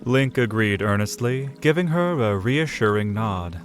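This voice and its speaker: A man narrating an audio drama in a measured storytelling manner. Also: lowish voice, animated, faint music behind. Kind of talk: scripted narration.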